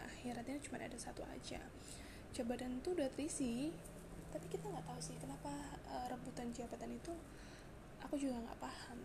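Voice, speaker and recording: A hoarse young woman hosting a casual solo podcast, chatty and confiding, with frequent fillers and restarts. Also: high-pitched, noisy background. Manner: chatty, confiding, mixes in English words, many fillers and restarts. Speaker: podcast host.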